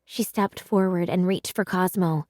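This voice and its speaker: A woman narrating a children's story aloud in a deliberate, expressive way. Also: lowish voice, no echo, clean studio sound.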